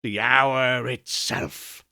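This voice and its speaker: A man's voice: mid-range voice, extremely slow, vocal fry, sharp tone, dry recording, studio quality, heavily acted. A man voicing an anxious, perplexed old miser who mutters emphatically in a Victorian storybook reading. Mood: triumphant.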